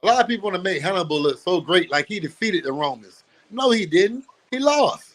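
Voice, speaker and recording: A man's voice: high voice, animated, fairly quick. A man teaching in an emphatic, preachy way, repeating phrases and laughing often. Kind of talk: street-teaching talk.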